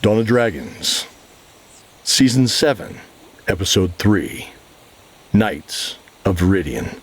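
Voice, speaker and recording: A man's voice: sits low, very animated, takes it slowly, husky. A man working as narrator, reading scripted fantasy narration. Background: music.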